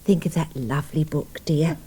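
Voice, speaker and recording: An actress playing a gentle, sentimental spirit medium with hushed, dramatic delivery in a radio play. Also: female voice, sits low, fairly even intonation, moderate pace, slightly rough. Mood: tender, reassuring.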